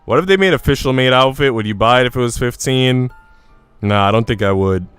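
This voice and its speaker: A man's voice: medium pitch, a little fast, fairly bright tone, smooth, no echo, faint music behind. A man livestreaming a game, talking casually with informal slang and reading story text aloud. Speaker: game streamer.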